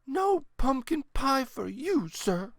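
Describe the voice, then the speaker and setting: A man performs an indignant old grandmother from a children's storybook. The voice is pitched very high above a normal male register. It is haughty, scolding and emphatic. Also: very animated, takes it slowly, no echo, clean studio sound, full character voice. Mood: stern scolding. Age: older.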